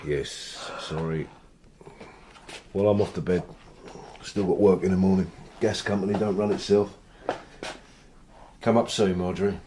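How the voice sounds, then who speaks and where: An actor playing a brutish working-class London husband in a radio drama, blunt and colloquial, with a coarse, falsely soothing manner. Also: male voice, low-pitched, fairly even intonation, unhurried, long pauses, warm tone, slight background noise. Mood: dismissive.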